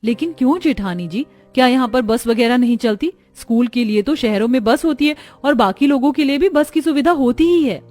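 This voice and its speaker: A woman's voice: high voice, lively intonation, a little fast, few pauses, a little mellow, faint music behind. A woman voicing an educated, resolute young city wife in a cartoon story. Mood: concerned, resolute.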